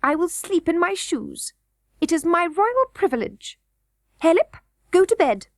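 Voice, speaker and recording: A woman doing a shrill, spiteful goblin queen. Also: big pitch swings, measured pace, no echo, studio quality, exaggerated voice. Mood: sulky. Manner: shrill, peevish and haughty. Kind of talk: storybook reading.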